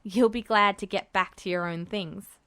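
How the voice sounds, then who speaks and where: A woman's voice: mid-range voice, fairly even intonation, normal speed, fairly bright tone, no room sound, voice pushed down, lightly acted. A woman voicing an easygoing, teasing, fatalistic teenage boy soldier in a story reading. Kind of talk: audiobook-style character reading of fan fiction.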